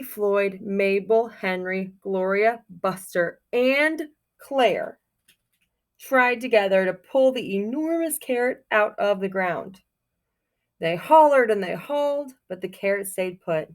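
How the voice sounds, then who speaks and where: A woman's voice: medium pitch, lively intonation, slow pace. A woman doing a children's read-aloud like a teacher, reading expressively in a sing-song way and putting simple, encouraging questions to her young listeners.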